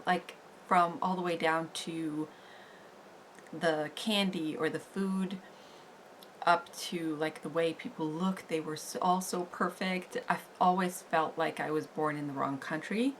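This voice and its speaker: A Norwegian woman in her thirties with a Norwegian accent, chatting casually on her beauty channel, unhurried and full of "like" fillers. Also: lowish voice, slight background noise, poor audio. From Norway. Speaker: beauty vlogger. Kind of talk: casual get-to-know-me chat.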